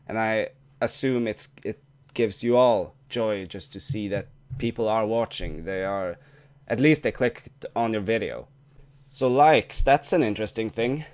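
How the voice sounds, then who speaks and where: A man's voice: lowish voice, a little slow, darkish tone. A young man whose first language is Norwegian, talking casually to camera in a vlog with frequent restarts and swearing. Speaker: YouTuber.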